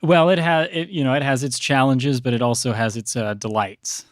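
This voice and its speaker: Measured American man, thoughtful priest, dry sound. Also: medium pitch, fairly even intonation, no room sound. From Texas, United States. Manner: measured, hesitant, thoughtful phrasing. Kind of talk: reflective interview talk.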